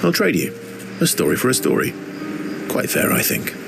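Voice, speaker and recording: A man's voice: medium pitch, wildly swooping pitch, moderate pace, creaky, noisy background, exaggerated voice. An actor playing a mysterious, playful and self-deprecating man, hesitant and bantering, in a naturalistic video game cutscene style. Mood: calm, playful. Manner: hesitant, bantering, mock-offended. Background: music.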